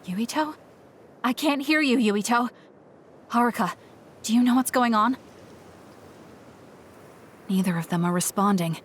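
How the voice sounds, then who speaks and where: A woman's voice: a little high, unhurried, pauses often, husky. An actress playing a stoic, blunt young woman fighter in a video game, speaking in a matter-of-fact, terse way. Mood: urgent. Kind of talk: English video game voice acting.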